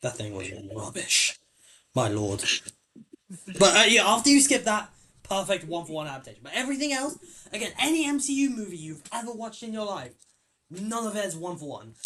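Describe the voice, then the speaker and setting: A young man hosting a casual film discussion podcast, hesitant with many restarts and sudden jumps in loudness. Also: sits high, sing-song, fairly quick, slight background noise. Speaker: podcast host.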